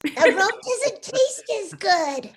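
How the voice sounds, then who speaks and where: Tiny squeaky, ruthless female rodent. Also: very animated, takes it slowly, over a noisy crowd, exaggerated voice. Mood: calmly menacing. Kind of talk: table read of animated comedy script.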